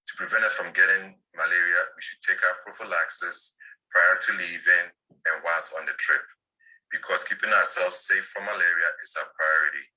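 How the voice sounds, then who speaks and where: A man from Ghana, a family physician reading a scripted commercial in a measured, deliberate way. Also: low-pitched, fairly even intonation, sharp tone, rough recording. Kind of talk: scripted radio-style commercial.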